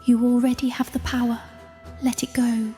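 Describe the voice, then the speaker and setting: A woman playing a gentle, loving sister's spirit in a fantasy audio drama, soft and breathy. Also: a little high, little pitch movement, normal speed, close to an everyday voice. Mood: tender, solemn. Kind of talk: cinematic audio drama acting. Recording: long reverb over faint music.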